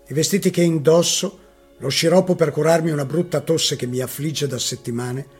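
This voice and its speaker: A man's voice: on the high side, fairly even intonation, normal speed, slightly rough. A man giving a dramatic reading of a first-person eyewitness account. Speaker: reader. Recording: music playing behind the voice.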